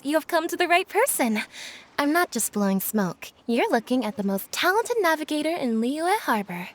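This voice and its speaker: An actress playing a proud, chatty young ship's navigator in a video game, explaining things with eager enthusiasm. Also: female voice, high voice. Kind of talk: scripted video game dialogue.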